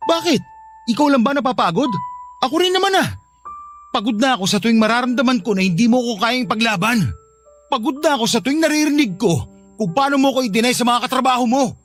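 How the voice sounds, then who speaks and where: A man's voice: very high-pitched, expressive, fast, fairly bright tone, faint music behind. A man with a Filipino accent acting as a hurt, insistent young boyfriend in a radio drama, earnest and pleading. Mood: frustrated, hurt. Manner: earnest, pleading, emotionally pressing.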